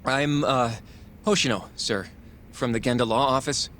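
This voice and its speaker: An actor playing a timid, anxious young lawyer in a video game, speaking hesitantly and politely. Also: male voice, medium pitch, moderate pace, fairly bright tone, a little hiss or hum, lightly acted. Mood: nervous. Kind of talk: English video game voice acting. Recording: music under the voice.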